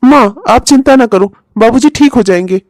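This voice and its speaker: Casting: woman as worried grown child in a household drama, high-pitched, reasoning, soothing. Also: normal speed, darkish tone, a little hiss or hum, lightly acted. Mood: concerned, placating. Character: concerned grown child of the house, calming mother. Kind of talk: family story acting.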